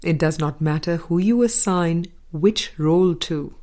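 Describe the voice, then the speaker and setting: A woman reading a scripted spiritual dialogue aloud in a measured, deliberate way, pausing between short phrases. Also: on the low side, dark tone. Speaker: audiobook reader.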